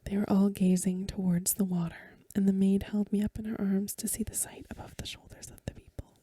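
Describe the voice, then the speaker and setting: A woman reads a story in a hushed, very breathy whisper. Her tone is soft and mellow, with a gentle delivery suited to ASMR. The sound is close and dry, with no room around it. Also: mid-range voice, little pitch movement, brisk, no room sound. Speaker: ASMR reader.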